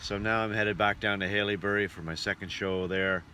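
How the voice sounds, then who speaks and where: A man's voice: lowish voice, fairly even intonation, brisk, pushed and bright. A man who works as a travelling entertainer, chatting casually in a travel vlog. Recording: outdoor ambience and vehicle noise.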